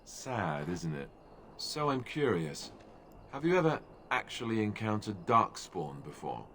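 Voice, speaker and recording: Wry, animated young warrior man. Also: lowish voice, a little slow, a little hiss or hum, exaggerated voice. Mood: friendly, wry. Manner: sarcastic, joking. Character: wry young male warrior recruit, glib and friendly. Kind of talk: video game dialogue.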